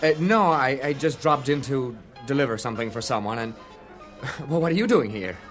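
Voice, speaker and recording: A man's voice: mid-range voice, expressive, moderate pace, fairly bright tone, soft background music, lightly acted. An actor playing an uneasy, evasive man in a radio drama, hesitant and awkwardly polite. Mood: flustered.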